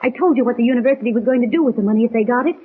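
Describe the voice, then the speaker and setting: High, fast-talking plucky heroine played by an actress. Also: female voice, close to an everyday voice. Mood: earnest pleading. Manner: earnest, urgent, persuasive. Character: spirited, pleading young woman newspaper reporter. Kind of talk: 1940s radio acting. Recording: tinny, noisy 1940s radio recording.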